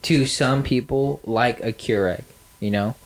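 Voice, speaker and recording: A man's voice: mid-range voice, unhurried. Nasal guy on a podcast, rambly and sarcastic, lots of ums. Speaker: podcast co-host. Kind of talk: casual chat.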